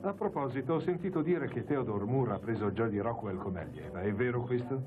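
An actor playing a flattering, snide young man in a cartoon dub. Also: medium pitch, brisk, natural voice. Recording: muffled narrow-band sound with music.

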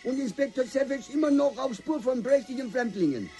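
A man's voice: very high-pitched, lively intonation, a little fast, warm tone, soft background music. An actor playing a terse Chinese man who speaks in clipped, broken phrases, in a 1990 radio play. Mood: stilted. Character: Chinese man Li Feng, terse, stilted speech.

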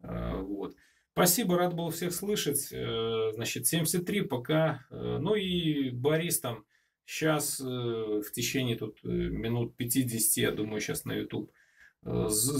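A man with a creaky, gravelly voice speaks as an amateur radio operator in a home radio room. His talk is casual and halting, passing between remarks and radio procedure. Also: medium pitch, sing-song. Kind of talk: casual ham radio contact. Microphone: handheld camera filming the radio.

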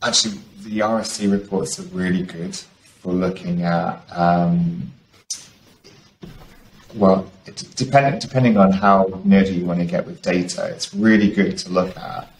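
A man's voice: sits low, a little slow, creaky. A man speaking as a panelist on a video call, explaining his points in a discussion. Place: indoor room, video call. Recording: roomy video call, faint background noise.

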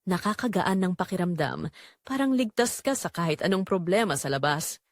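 A woman's voice: on the low side, animated, moderate pace, no echo, clean studio sound. A woman with a Filipino accent narrating a scripted first-person romance as a tender young call center agent. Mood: heartfelt, wistful. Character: tender young female call center agent telling her love story. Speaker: story narrator.

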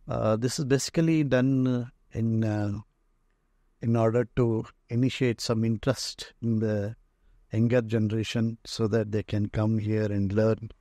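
Slow, low-voiced Indian man, halting. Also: mellow, no room sound, studio quality. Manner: halting, pausing often mid-phrase. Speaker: museum owner and radio restorer giving a tour. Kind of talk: informal narrated walk-through tour. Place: indoor museum and workshop room full of shelves.

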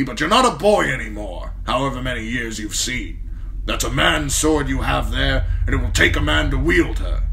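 Brief: male narrator puts on a gruff old commander, brusque and loud, pitched well above his own gravelly voice. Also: slight background noise. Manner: brusque, barking orders. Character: gruff old man, blunt commanding military lord.

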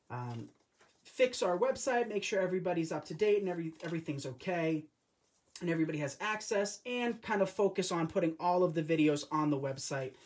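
Man, early twenties, live crafting host, casual chat, home craft room, camera on a tripod. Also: high voice. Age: 20s. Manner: chatty, loose and conversational with frequent restarts. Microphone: camera or phone on a tripod over the table.

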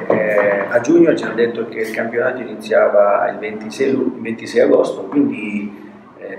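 An older man talking through a post-match press conference in a low voice, hesitant and full of restarts. He sits back from a table mic in a very echoey room, with steady noise underneath. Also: recorded from a distance. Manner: halting, restarts often, trails off softly. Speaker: football coach. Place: press room with a sponsor backdrop. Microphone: table mic on a stand.

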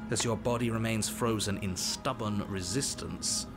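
Game casting: man voicing a sardonic, deliberate narrator, with music behind him. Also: fairly low pitch, natural voice. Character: dry, exasperated male narrator. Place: game audio over background music.

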